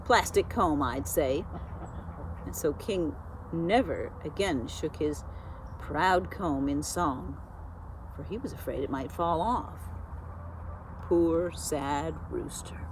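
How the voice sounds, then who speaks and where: A woman narrating a children's storybook expressively, like a school librarian, with deliberate dramatic emphasis. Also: low-pitched, background noise. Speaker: school media specialist reading a storybook.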